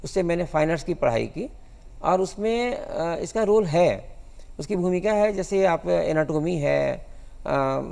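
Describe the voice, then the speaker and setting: A man answering studio interview questions as a guest, explaining in a halting way. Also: fairly high pitch, lively intonation, gravelly, a little mellow. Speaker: guest cartoonist.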